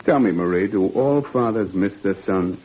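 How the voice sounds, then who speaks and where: A man's voice: lowish voice, normal speed, a little mellow, natural voice. An actor playing a weary, affectionate father, a wistful former professor, in 1940s radio drama style. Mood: fond, wistful. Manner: wistful, fatherly, ends remarks with 'huh?'. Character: weary, affectionate father, dismissed professor turned factory worker. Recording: muffled, noisy 1940s radio recording.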